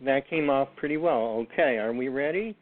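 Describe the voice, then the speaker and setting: A man's voice: mid-range voice, normal speed, crowd noise. A man from upstate New York hosting a casual talk show, easygoing as he steers the talk. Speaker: host. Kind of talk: casual chat. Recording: phone-like call audio.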